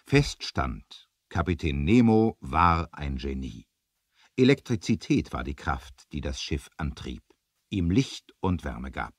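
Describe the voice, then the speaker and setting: An actor narrating a sea adventure radio play in a measured, deliberate, dramatic storytelling manner. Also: male voice, very deep voice, very animated, husky, no room sound, heavily acted. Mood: explanatory. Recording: dry 1970s vinyl record transfer.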